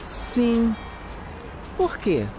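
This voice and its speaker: A brazilian lady detective, calm n analytical, audio drama, music and street noise behind her. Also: on the high side, extremely slow, full character voice. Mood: focused, wary. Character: Shirley, composed, analytical female detective narrating her case. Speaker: lead actress and narrator. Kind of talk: audio drama narration and dialogue. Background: music, urban street ambience with vehicles.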